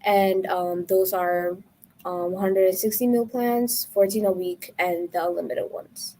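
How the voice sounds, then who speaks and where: A young woman from Ethiopia talks students through their housing options in a measured, informative way. Her voice is mellow and dark, at a middle pitch, and a faint background hum sits under it. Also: fairly even intonation. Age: young adult. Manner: informative, measured, with some ums. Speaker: student presenter.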